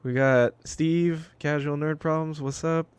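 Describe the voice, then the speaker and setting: A man's voice: on the high side, fairly even intonation, a little slow, clean tone, no room sound. A man co-hosting a casual livestream, reading out chat names and joking around loosely with some casual swearing, speaking over a video call. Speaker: co-host.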